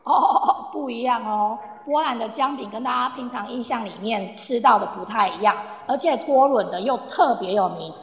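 A woman playing a cheerful, eager tour guide in an amateur radio play, chatting to her group as she explains. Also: on the high side. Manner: chatty, addresses group, uses soft sentence-final particles. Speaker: tour guide in an audio drama. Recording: echoey, long reverb, online audio-room recording.

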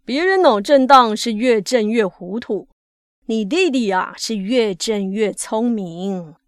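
A man's voice: high-pitched, expressive, takes it slowly, clean tone, no room sound. Woman acting out a proud, doting working-class dad. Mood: calmly proud.

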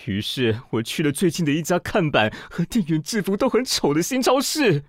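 Theatrical, swooping Taiwanese magazine director. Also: male voice, a little high, very animated, normal speed, dry recording, clean studio sound. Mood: deadpan storytelling. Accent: Taiwanese Mandarin. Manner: theatrical, bossy, haughty. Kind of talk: radio drama acting.

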